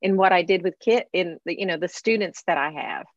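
A woman who is a classically trained singer, hosting an interview in animated, chatty conversation with frequent laughs. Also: on the low side, brisk, dry recording, highs cut off. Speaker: podcast host, professional classical singer. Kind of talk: casual interview chat.

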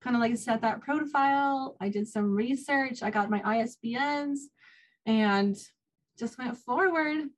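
A woman's voice: a little high, measured pace. A woman chatting informally as an author guest in an interview, given to small laughs between phrases. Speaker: guest, self-published author. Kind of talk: casual interview chat.

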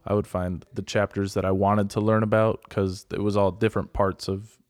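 An American man chatting casually as a podcast co-host, with a deadpan, self-mocking manner. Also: on the low side, moderate pace, no room sound. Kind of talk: casual banter.

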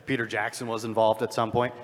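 A man's voice: lowish voice, little pitch movement, fast. A man's voice rings through a big, reverberant hall. He talks as a live panelist, improvising with a wry, declamatory delivery and joking asides.

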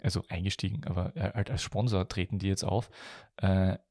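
A low-voiced Austrian man with a gravelly creak, recorded dry with no room sound. He hosts a casual football podcast, speaking haltingly and often searching for his words. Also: fairly even intonation, darkish tone, dry recording. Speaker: podcast host.

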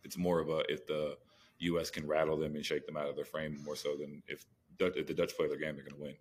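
Fast, very deep-voiced man talking sports. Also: flat delivery, a little hiss or hum. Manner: informal, slangy, repeats phrases. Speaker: sports podcast co-host. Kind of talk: casual sports analysis.

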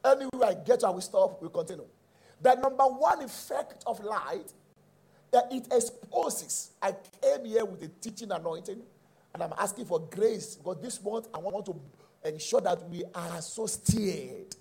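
A middle-aged Nigerian man with a raspy voice, teaching a sermon emphatically, repeating key phrases and checking in with his listeners. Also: high voice, lively intonation, normal speed, a little mellow, rough recording. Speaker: preacher.

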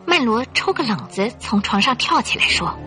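A woman's voice: on the high side, very animated, normal speed, bright, forceful tone, soft background music. A woman narrating a radio drama in a theatrical, suspenseful storytelling style. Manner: theatrical, suspenseful, clearly articulated.

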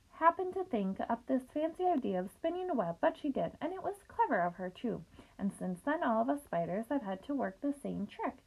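A woman's voice: on the high side, gravelly, heavily acted, poor audio. Charlotte, a bold clever spider, read by a woman. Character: bold clever female spider, matter-of-fact trapper. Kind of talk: storybook character reading.

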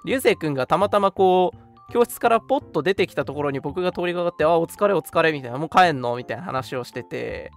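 A man's voice: a little high, animated, fast, creaky, faint music behind. A man in his early twenties co-hosting a radio show in casual chat, readily agreeing and echoing his partner. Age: early 20s. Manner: agreeable, echoes and affirms his partner often.

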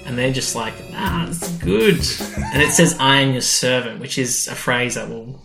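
A man's voice: medium pitch, moderate pace, gravelly, roomy sound, over music. A man co-hosting a podcast, casually recapping a story and acting out people's reactions as he retells it. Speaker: podcast co-host. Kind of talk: casual episode recap chat.

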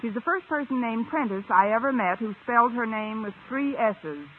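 Actress as wry schoolteacher, very noisy old radio. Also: female voice, fairly high pitch, lively intonation, normal speed, phone-quality sound, natural voice. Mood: friendly, wry. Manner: dry, sardonic. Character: wry, wisecracking adult female high-school English teacher. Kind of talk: 1950s radio comedy acting.

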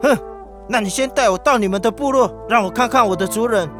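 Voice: mid-range voice, fast, lightly acted. Actor doing a hot-headed young warrior, taiwanese mandarin, blunt and defiant, radio play with music under it. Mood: suspicious. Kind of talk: radio drama acting.

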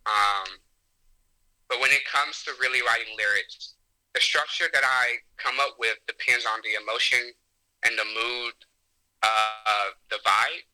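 Bright-voiced young man describing his music. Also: mid-range voice, steady tone, low-quality recording. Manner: unhurried, filler-heavy, confident about his music. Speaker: singer-songwriter guest. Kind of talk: casual interview answers.

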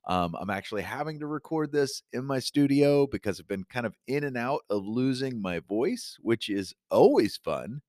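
A man's voice: mid-range voice, expressive, normal speed, hardly any pauses, darkish tone, no room sound, studio quality. A man hosting his own podcast, talking with upbeat encouragement straight to the listener while fighting a cold that leaves his voice a little scratchy. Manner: enthusiastic, encouraging, addresses listener directly. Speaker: podcast host and coach. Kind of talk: upbeat solo podcast talk. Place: his studio.

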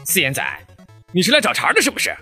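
A man's voice: sits high, wildly swooping pitch, fast talker, sharp tone, faint music behind, full character voice. A man playing an aggressive young street gang leader in an audio drama, menacing and barking orders.

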